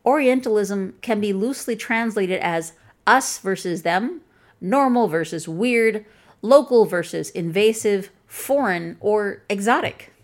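A woman's voice: medium pitch, lively intonation, measured pace. Wry woman with a Chicago accent. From Chicago, USA. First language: English. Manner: wry, pointed and dryly humorous. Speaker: video essayist. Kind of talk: scripted commentary.

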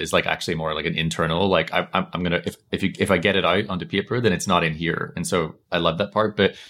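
A man's voice: low voice, a bit bright. A Northern Irish man hosting a podcast, rambling casually with many fillers and restarts. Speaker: podcast host.

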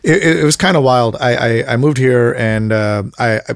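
Man from india, thirties, gravelly voice, dry close sound, halting restarts and fillers. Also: medium pitch, no echo. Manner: hesitant, lots of stammered restarts and fillers; animated, acts out quoted voices, laughs. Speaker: podcast guest, creative director. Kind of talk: casual interview storytelling.

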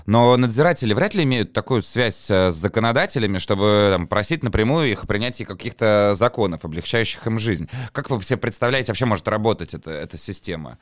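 Lively, fairly low-voiced male interviewer. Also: fast, hardly any pauses, no room sound, studio quality. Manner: summarizes, then asks probing questions. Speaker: podcast host, interviewer. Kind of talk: conversational interviewing.

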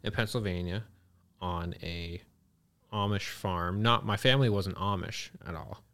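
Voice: deep voice, unhurried, a bit bright. A comedian guest from Canada chatting on a podcast, joking and mock-surly, often saying "dude". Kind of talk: casual podcast chat.

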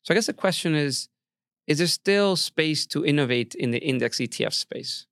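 A man's voice: on the high side, animated, moderate pace, fairly bright tone, dry recording, studio quality. A man hosting an interview podcast, asking questions in a friendly, curious way. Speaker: podcast host.

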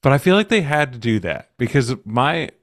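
A man's voice: medium pitch, big pitch swings, fairly quick, no echo, studio quality. A man co-hosting a podcast, chatting in a wry, joking way. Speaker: podcast co-host. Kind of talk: casual chat.

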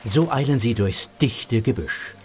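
A man narrating a scripted children's audio story with a husky voice. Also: mid-range voice, measured pace, faint music behind.

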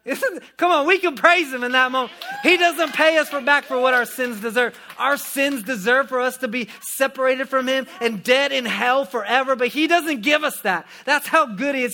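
A man preaching a sermon to a congregation in a conversational way that rises to shouting. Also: very high-pitched. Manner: energetic, conversational, swells to shouting. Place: church hall before a congregation. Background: instrument music.

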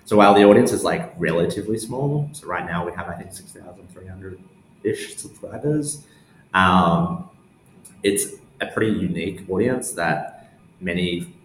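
Casual young male guest, deep voice, halting delivery, echoey room. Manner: casual, halting, thinking aloud. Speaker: interview guest, newsletter founder. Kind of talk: casual interview answers. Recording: roomy echo, faint background noise.

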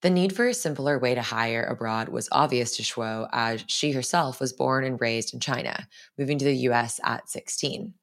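A woman's voice: rumbling low, normal speed. A young woman hosting a podcast, moving between scripted introduction and interview questions. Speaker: podcast host.

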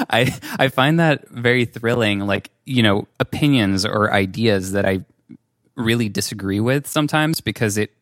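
Male interviewer, native French speaker, fairly low voice, casual and reflective, very dry recording. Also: a bit bright, no room sound.

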